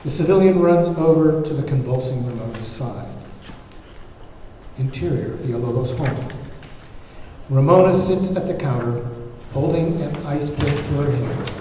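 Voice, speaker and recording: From far off in a very echoey hall, a man narrates a screenplay. His voice is slightly high and mellow, with faint noise around it. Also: moderate pace. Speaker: table read narrator.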